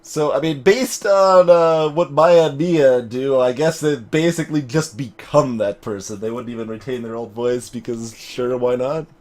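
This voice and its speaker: A man's voice: medium pitch, fairly even intonation, moderate pace, hardly any pauses, a little mellow. A man giving casual, hesitant and wry commentary on a game he is playing. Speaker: let's play host voicing a visual novel. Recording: music behind. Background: game music.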